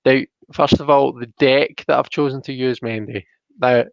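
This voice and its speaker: A man's voice: mid-range voice, steady tone, moderate pace, gravelly. A man hosting a casual live-stream, chatting in a tentative, apologetic way. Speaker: host of a live tarot reading show. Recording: muffled, roomy home live-stream sound.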